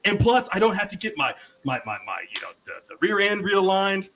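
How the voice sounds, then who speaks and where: A man from Mississippi co-hosting a casual panel show over a video call, telling stories with a booming voice that carries, swearing freely. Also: big pitch swings, fairly quick, a bit bright, a little hiss or hum. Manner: booming, storytelling, swears freely, laughs a lot. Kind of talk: casual chat.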